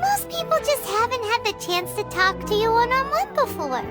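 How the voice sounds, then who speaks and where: A tiny floating fairy companion, squeaky and childlike, with a sky-high voice that leaps all over the place. She talks in a bouncy, blunt way, always excited about food. Game music plays loudly behind her. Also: normal speed. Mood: reassuring. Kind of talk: video game cutscene dialogue.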